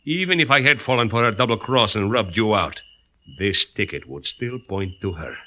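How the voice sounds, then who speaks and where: A man's voice: on the low side, lively intonation, normal speed, pushed and bright. An actor playing a tough, sardonic crime-story man, blunt and wisecracking, in 1940s radio noir style. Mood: wry. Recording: muffled old 1940s radio recording.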